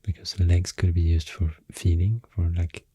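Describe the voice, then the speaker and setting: A man with a Swedish accent softly rambling through an ASMR explanation, breathy and often near a whisper, soothing and hesitant in manner. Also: low voice, little pitch movement, unhurried, dry recording. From Sweden. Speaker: ASMR host.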